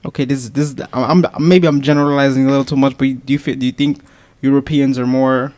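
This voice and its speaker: A man's voice: mid-range voice, flat delivery, fairly quick, darkish tone, no echo. Stammering male podcast host, dry sound. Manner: stammering, repeats words while asking. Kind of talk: interview questions.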